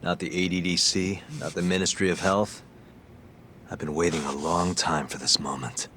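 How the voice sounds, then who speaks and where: A man's voice: low voice, level tone, moderate pace, a bit bright, a little hiss or hum. A man playing a cool, wry, determined detective in a video game cutscene. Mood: quietly menacing.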